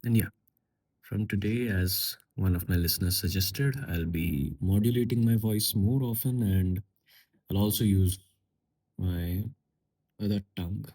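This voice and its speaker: A man with a slightly low, breathy, husky voice talks alone into a podcast mic. He muses in an unhurried way, pausing and circling back with fillers as he thinks aloud. English is not his first language. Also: dark tone. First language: a language other than English and Hindi. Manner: musing, unhurried, with pauses and fillers. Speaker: podcast host. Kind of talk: reflective solo podcast monologue.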